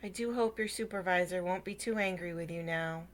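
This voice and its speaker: A woman voicing a gentle, lonely man in a children's picture book, speaking politely and earnestly. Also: fairly low pitch, brisk, natural voice. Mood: considerate. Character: gentle, lonely, mild-mannered man in a storybook.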